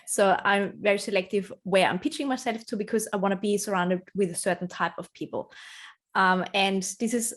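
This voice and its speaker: Woman, non-native English speaker, interview guest; earnest, reflective, ordinary mid-range pitch. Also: fairly even intonation, a little fast, fairly bright tone. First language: not English. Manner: reflective, earnest, laughs often. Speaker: interview guest, branding strategist. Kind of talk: conversational interview answers.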